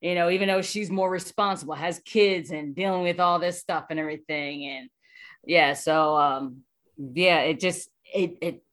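A woman speaking casually as an interview guest, reflective and halting as she talks about her work. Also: fairly low pitch, steady tone, a bit bright. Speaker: voice actor guest.

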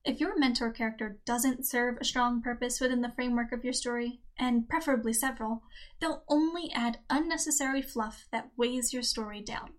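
A woman hosting a podcast and reading a writing-advice article aloud, upbeat and clearly paced, her voice a little scratchy today. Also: a little high. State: slightly scratchy voice. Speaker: podcast host.

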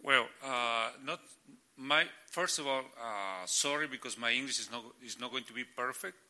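A middle-aged man whose first language is Spanish, speaking halting English as a guest and sharing stories of church planting. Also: mid-range voice, bright, forceful tone. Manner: halting, searching for English words.

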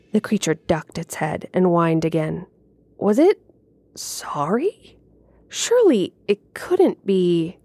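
A woman writer reading her own horror story aloud in a measured, suspenseful way. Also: fairly low pitch, darkish tone, slightly rough, no room sound. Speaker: writer and narrator. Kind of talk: scripted horror story narration. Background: music.

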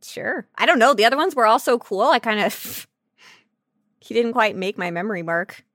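A woman with a highish, bright voice chats casually as a podcast co-host. She is playful and jokey, and the sound is close and dry. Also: moderate pace, no room sound. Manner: silly, joking.

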